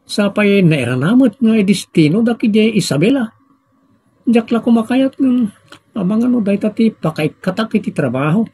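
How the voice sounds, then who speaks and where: A man's voice: high voice, animated, normal speed, dark tone, slightly rough, exaggerated voice. A man playing an exasperated, chiding village husband in an audio comedy drama. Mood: concerned, chiding. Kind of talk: Ilocano radio-style comedy drama acting.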